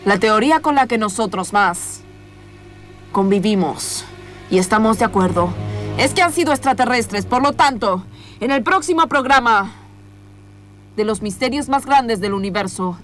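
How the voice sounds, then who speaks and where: A woman's voice: a little high, animated, moderate pace, long pauses, a bit bright. A woman playing a theatrical, suspenseful host of a paranormal mysteries show in improvised radio comedy. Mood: grandly presenting. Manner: theatrical, suspenseful, rhetorical repetition. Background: music bed.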